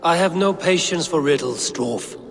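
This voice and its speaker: A man's voice: a little high, animated, lightly acted. Man playing a stern commanding god, hall reverb. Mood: stern, impatient. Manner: grave, measured, authoritative. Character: stern, commanding, impatient male Norse god ruler. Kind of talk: video game cutscene acting. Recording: reverberant hall with music behind.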